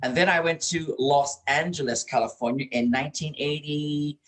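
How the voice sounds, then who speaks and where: A middle-aged or older man from Hawaii telling stories as an interview guest, expressive and given to repeating words for emphasis. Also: a little high, moderate pace. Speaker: interview guest, performer and producer. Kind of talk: casual interview storytelling.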